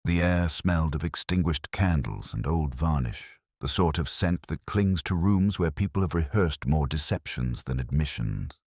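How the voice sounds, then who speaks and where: A man narrating a scripted first-person detective story in a solemn, measured, literary manner. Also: extremely low pitch, lively intonation, darkish tone, no echo, studio quality. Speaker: audio drama narrator.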